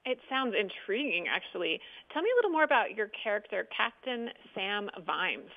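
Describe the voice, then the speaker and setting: A woman's voice: mid-range voice, very animated, normal speed, vocal fry, narrow, tinny audio. An American woman presenting and interviewing in a local television style.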